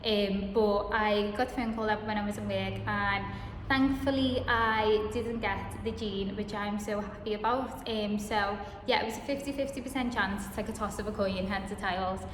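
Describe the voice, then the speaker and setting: A woman's voice: mid-range voice, fairly even intonation, moderate pace, roomy sound, big-room sound, noisy background. A woman tells a personal story to camera, chatting with many ums and restarts. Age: 20s. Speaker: vlogger.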